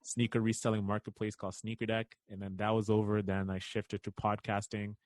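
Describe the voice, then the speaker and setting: A man's voice: on the low side, level tone, fairly quick, a little mellow, no room sound. A man in his twenties from Kenya, co-hosting a podcast in laid-back, slangy chat. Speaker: podcast co-host. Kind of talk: casual podcast conversation.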